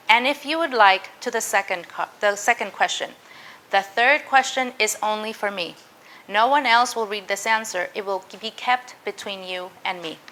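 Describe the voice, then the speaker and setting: Bright-voiced woman teaching a workshop. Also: a little high, a little hiss or hum. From Mexico. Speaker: university professor. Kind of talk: interactive workshop presentation.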